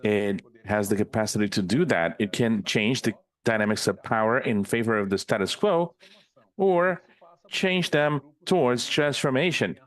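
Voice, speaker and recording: A man simultaneously interpreting a panel speaker into English, in a steady, measured delivery with small restarts. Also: on the low side.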